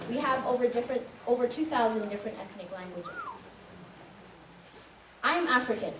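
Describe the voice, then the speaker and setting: A woman's voice: on the high side, expressive, moderate pace. A young woman from Tanzania giving an emphatic, rhythmic spoken-word monologue on a school stage. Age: young adult. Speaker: student speaker. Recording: echoey hall recorded from far off, noisy.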